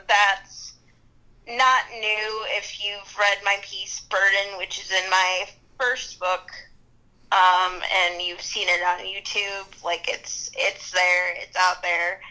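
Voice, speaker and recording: A young woman speaks bluntly and outspokenly, with a bright, forceful edge to her voice. She's a musician and activist giving a casual interview and laughing easily. The sound is a little phone-like. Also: medium pitch. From New Jersey and New York area.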